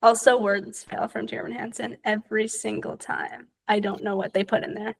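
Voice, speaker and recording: A young woman hosting a podcast, asking quick rapid-fire questions in an upbeat, chatty way. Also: medium pitch, flat delivery, vocal fry, slightly rough. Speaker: podcast host. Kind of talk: casual interview.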